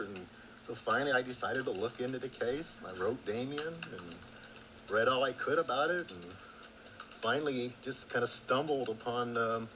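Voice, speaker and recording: A man from Ohio speaks at an ordinary pitch in a documentary interview, earnest and indignant. Clear background hiss runs under his voice. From Ohio, USA. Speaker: case supporter interviewed in a documentary.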